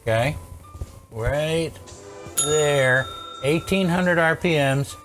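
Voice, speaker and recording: A man's voice: fairly high pitch, very slow, faint music behind. A man chatting casually as a repair technician, thinking aloud, asking questions and teasing dryly while he works. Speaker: vintage audio repair technician. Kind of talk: casual hands-on repair chat, bantering.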